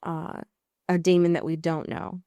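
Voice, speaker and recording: A woman's voice: lowish voice, little pitch movement, a little slow, mellow, smooth, dry recording, clean studio sound. A woman co-hosting a podcast in a casual recap chat, informal and wry, with some swearing. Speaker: podcast co-host.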